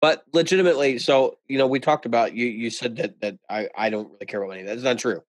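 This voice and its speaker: A man's voice: medium pitch, quick pace, no echo. A man in his thirties with a middling voice chats casually on a podcast, teasing his co-host. The recording is dry and close, with no room sound. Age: 30s. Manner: teasing, wry interjections. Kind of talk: casual chat.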